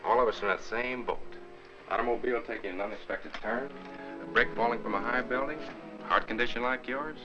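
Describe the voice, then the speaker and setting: An actor playing a calm, reassuring family doctor in 1950s film melodrama style, brisk and professional. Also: male voice, mid-range voice, natural voice, low-quality recording. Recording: vintage 1950s film soundtrack, orchestral music behind.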